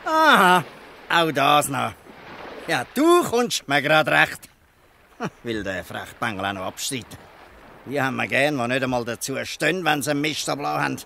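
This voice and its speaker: A man playing a gruff, shrewd old fisherman who scolds in Swiss German in a radio play. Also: medium pitch, lively intonation, moderate pace, sharp tone, slight background noise. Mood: scolding, mocking. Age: older. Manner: blustering, ends taunts with a questioning "hä". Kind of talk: dialect radio play acting.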